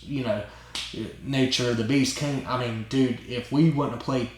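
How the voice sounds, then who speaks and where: A man hosting his own channel tells a long story in a casual, excited way, rambling and often tagging lines with "right?" and "man". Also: mid-range voice, slight background noise. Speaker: channel host. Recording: roomy echo.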